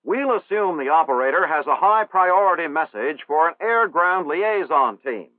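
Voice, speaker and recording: Guy narrating an old army training film, kinda high voice, tinny dry sound. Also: lively intonation, no echo. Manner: formal, measured, instructional.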